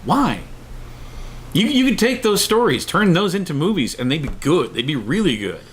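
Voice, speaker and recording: A man's voice: a little high, very animated, moderate pace. A middle-aged man co-hosting a casual opinion show, talking emphatically and repeating himself for stress. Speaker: co-host of a show. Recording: clear background noise, room ambience.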